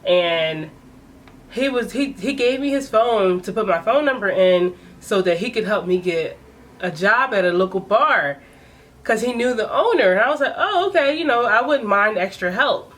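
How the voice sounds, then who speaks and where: A woman's voice: medium pitch, moderate pace. Chatty woman in her twenties telling a story. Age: 20s. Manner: animated, laughing, chatty. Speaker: vlogger. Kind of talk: casual story time.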